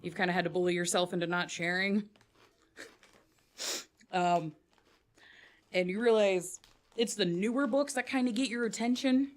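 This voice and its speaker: A woman's voice: mid-range voice, steady tone, moderate pace, long pauses. A woman narrating as game master in a casual, profane way, full of filler words.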